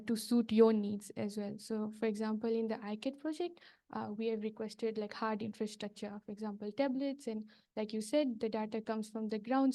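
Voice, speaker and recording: Soft-spoken woman from Fiji, hesitant. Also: a little high. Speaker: project coordinator. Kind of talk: workshop presentation without slides.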